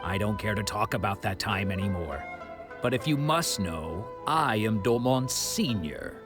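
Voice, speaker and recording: Low-voiced, proud wandering swordsman. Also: lively intonation. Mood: proud, bitter. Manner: measured, reflective storytelling. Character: proud, embittered middle-aged wandering swordsman, former senior disciple. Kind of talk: video game voice acting. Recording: clear music under the voice.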